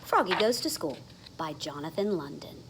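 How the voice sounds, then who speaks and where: A woman's voice: deep voice, animated, moderate pace, background noise. A woman reading a children's story aloud expressively, with dramatic emphasis on the sound words. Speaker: storybook reader. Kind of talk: animated children's read-aloud.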